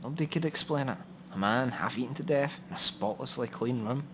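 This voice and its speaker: A man's voice: medium pitch, fairly quick, lightly acted. A man playing a matter-of-fact, grimly wry police contact in a noir radio drama, speaking bluntly and dryly. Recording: audible background noise and ambience.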